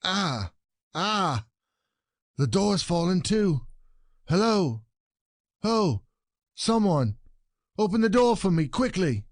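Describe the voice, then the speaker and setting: An actor playing a wronged, exasperated husband in an audio stage comedy, protesting theatrically and pleading indignantly. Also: male voice, sits high, sing-song, slow, pauses often, dry recording, clean studio sound, heavily acted. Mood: alarmed disbelief.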